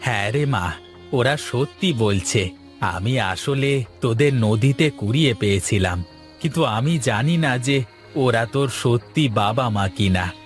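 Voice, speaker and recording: A man's voice: medium pitch, animated, unhurried, soft background music, lightly acted. A man voicing a poor, protective boatman and devoted adoptive father in an earnest cartoon drama. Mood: earnest, hurt. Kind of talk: Bengali cartoon voice acting.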